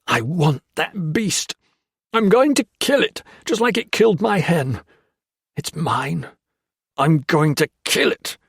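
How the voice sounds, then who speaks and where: A man's voice: fairly high pitch, expressive, takes it slowly, vocal fry, breathy edge, dry recording, clean studio sound, pitched above the performer's own voice, heavily acted. A man voicing a deranged old male army colonel who screams and hisses abuse. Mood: menacing. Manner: shrieking, screaming, hissing.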